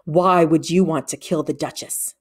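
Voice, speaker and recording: A woman's voice: low-pitched, fairly even intonation, moderate pace, slightly rough. A woman voicing a stern, menacing female guard captain in a storybook reading. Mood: stern interrogating. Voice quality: soft, menacing.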